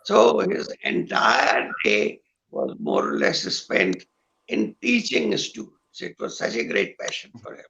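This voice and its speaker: An older man with a hoarse voice, a guest musician talking in a slow, halting way with many pauses over a video call. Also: sits high, huge pitch swings, pushed and bright, highs cut off, slight background noise. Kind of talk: recalled conversation with singing.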